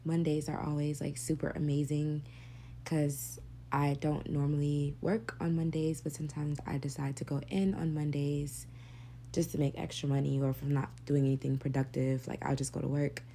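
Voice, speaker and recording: Deep, gravelly-voiced woman podcast host. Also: flat delivery, normal speed, mellow, a little hiss or hum. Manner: candid, chatty, swears freely. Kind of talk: casual solo podcast monologue.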